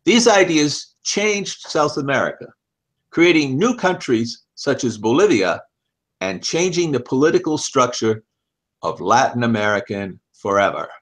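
An older man in his seventies reads an article aloud as scripted narration, in measured, deliberate phrases. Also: medium pitch, lively intonation. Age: older, about 70s. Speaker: reader of an article. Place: small home office, indoors. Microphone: headset.

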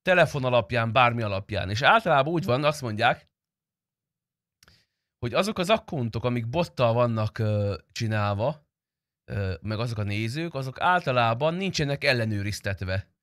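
Bright-voiced young man streaming. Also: medium pitch, animated, no echo, clean studio sound. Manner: informal, slangy, profane. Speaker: game streamer. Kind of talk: casual livestream chat.

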